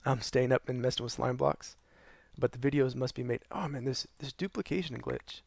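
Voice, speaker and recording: A young man narrating a gaming tutorial while losing his voice, hoarse and tired, rambling with many ums and uhs. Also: normal speed, darkish tone, no room sound. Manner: tired, low energy. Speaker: gaming channel host. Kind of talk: casual tutorial narration over gameplay.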